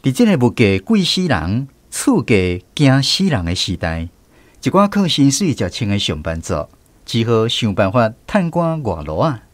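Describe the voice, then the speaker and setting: A man's voice: medium pitch, sing-song, normal speed, no echo. A man with a Taiwanese accent telling a scripted story as a radio narrator.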